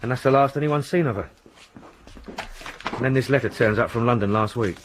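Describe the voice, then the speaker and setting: A man playing a quick earnest detective sergeant. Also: medium pitch, background noise, close to an everyday voice. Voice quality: earnest and deferential. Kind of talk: radio drama acting.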